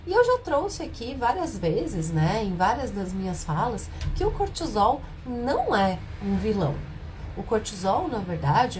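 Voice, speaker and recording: A woman with a cold hosts a live stream, her voice hoarse and nasal. She keeps it soft and lower than she normally would while she explains and asks her viewers questions. A faint noise sits behind her. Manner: speaks softer and lower than usual on purpose. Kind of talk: informal health talk on a live stream.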